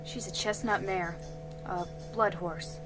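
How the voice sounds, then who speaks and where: A woman voicing a steady, sensible young man in a storybook reading. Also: medium pitch, takes it slowly, over music, close to an everyday voice, low-quality recording. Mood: calm. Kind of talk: storybook character voice.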